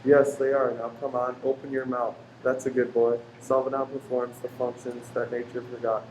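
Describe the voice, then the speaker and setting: A man's voice: medium pitch, level tone, moderate pace, a little mellow, natural voice. Man playing an echoey, pushy street salesman. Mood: bossy. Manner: declamatory, bossy, insistent. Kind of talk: radio play acting, sales patter. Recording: echoey room with faint noise.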